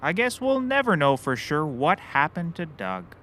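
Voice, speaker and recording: High, excitable goofball private eye played by a man. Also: moderate pace, a little hiss or hum. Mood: oblivious. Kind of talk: scripted audio comedy acting.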